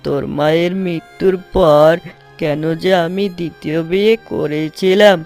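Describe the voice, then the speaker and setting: A man's voice: a little high, fairly even intonation, unhurried, a little mellow, faint music behind. Man acting a sorrowful, ailing father. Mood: sorrowful lament. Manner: plaintive, lamenting. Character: sorrowful, sickly, self-blaming father.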